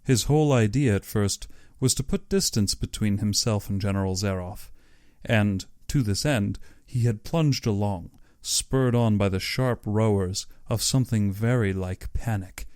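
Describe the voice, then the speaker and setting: A man's voice: fairly low pitch, unhurried, a little mellow, clear, resonant tone, no room sound, clean studio sound. A man narrating an audiobook story in a dramatic, scripted reading style.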